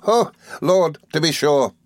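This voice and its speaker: A man voicing a cheerful, good-natured young gentleman law student in an audiobook, eager and flustered, with little stammering hesitations. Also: mid-range voice, lively intonation, brisk, no room sound, pitched above the performer's own voice, natural voice. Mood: merry, nostalgic. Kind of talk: audiobook character dialogue.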